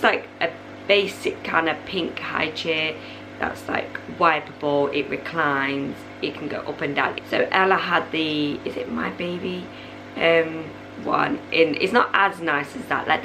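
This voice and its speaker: A young woman in her twenties, a mother of three, chatting casually to camera in her living room with a hoarse, failing voice and lots of fillers. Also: deep voice, background noise. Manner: chatty, rambling, many fillers. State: hoarse, voice going. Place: living room at night, small indoor room.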